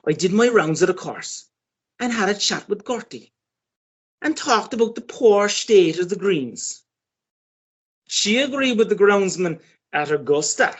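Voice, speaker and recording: An ageing Irish sporting has-been acted by a woman in a radio play, full of himself and forever wheedling. The voice is husky and very animated, leaping about in pitch as it chats and brags. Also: highs cut off. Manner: pompous, chatty, persistent. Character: boastful, wheedling ageing male Irish pitch and putt champion. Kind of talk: radio play monologue and dialogue.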